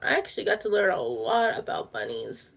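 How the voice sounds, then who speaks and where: A woman's voice: low-pitched, sing-song, fairly quick, vocal fry, a bit bright, breathy edge. A woman hosting a casual livestream, chatting in a rambling way and repeating her words. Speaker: livestream host.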